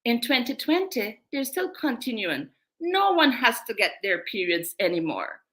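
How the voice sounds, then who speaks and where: Woman host, raised in Guyana, bright voice, emphatic delivery, repeats key phrases, online talk. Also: a little high, sing-song, normal speed. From born in England, raised in Guyana. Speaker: host, menstrual wellness coach. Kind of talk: presentation to an online group.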